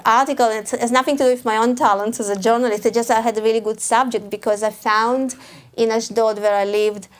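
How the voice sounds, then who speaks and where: A woman's voice: fairly high pitch, fairly even intonation, a little fast. Hesitant woman with a Russian accent. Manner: hesitant, with frequent restarts and small laughs. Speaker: author guest. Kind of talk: live author talk. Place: event hall before a live audience.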